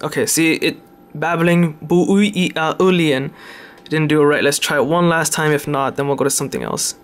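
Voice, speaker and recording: A man's voice: a little high, fairly even intonation, fairly quick. A man giving a casual, unscripted tech demonstration in an informal, hesitant way, often correcting himself. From Canada. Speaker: tech video presenter.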